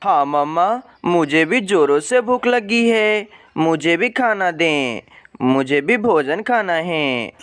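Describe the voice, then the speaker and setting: A hungry little baby bird in a cartoon fable, played by a man. It whines insistently in a high, clean voice. The delivery is slow and demanding. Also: lively intonation, fairly bright tone, clean tone, no room sound. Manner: whining, demanding. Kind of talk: cartoon moral story acting.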